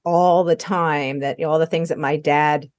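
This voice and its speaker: A middle-aged woman chats casually on a podcast. Her voice is on the low side, and the recording is a little muffled. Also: steady tone, moderate pace, darkish tone. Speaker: podcast co-host. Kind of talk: casual chat. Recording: slightly dull, muffled recording.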